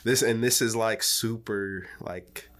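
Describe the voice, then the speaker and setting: A man's voice: lowish voice, steady tone, slow pace, a bit bright. A man in his twenties chatting casually as a podcast guest, laid-back and rambling, swearing freely. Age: 20s.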